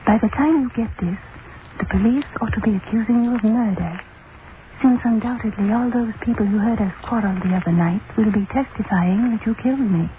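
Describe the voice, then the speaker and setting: A woman's voice: on the high side, a little fast, natural voice. A woman playing a weary, resigned young woman. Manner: quiet, resigned letter reading. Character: weary young woman writing her suicide note. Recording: tinny, hissy 1930s radio broadcast.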